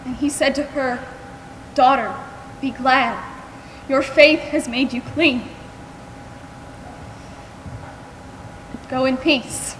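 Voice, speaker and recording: An actress on a theatre stage playing a gentle, devout woman who comforts the dying, speaking tenderly and deliberately. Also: high-pitched, fairly even intonation. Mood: solemn recitation. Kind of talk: stage play acting. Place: large theatre stage, recorded from the audience. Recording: echoey theatre stage, some hiss.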